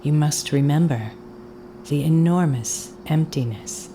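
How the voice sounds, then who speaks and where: An actress playing a haunted audio tour guide in a fiction monologue, speaking deliberately and haltingly, with insistent repetition. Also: female voice, sits low, mellow, slight background noise. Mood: hushed, insistent. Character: eerie, haunted woman guiding an audio tour. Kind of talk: dramatic second-person audio fiction monologue.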